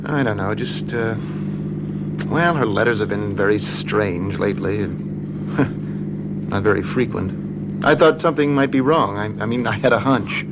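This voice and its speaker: An actor playing an earnest, plain-spoken young law student in a radio drama, with direct, colloquial speech. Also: male voice, lowish voice, measured pace, long pauses. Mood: hesitant concern. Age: young adult. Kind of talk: American radio drama acting. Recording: radio drama with clear background noise.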